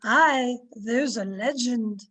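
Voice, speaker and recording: An elderly maidservant acted by a woman speaks in a high voice. She is solemn, devoted and a little mysterious, in the manner of an old radio play. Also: slow, a bit bright, breathy edge, heavily acted. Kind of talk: old-time radio drama acting. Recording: home recording over video call.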